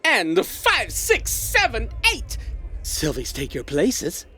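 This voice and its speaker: A man's voice: high-pitched, huge pitch swings, slow pace, pushed and bright, heavily acted. A man playing a flamboyant, bossy show director who calls cues theatrically in a comic audio drama. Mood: brisk commanding. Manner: theatrical, commanding.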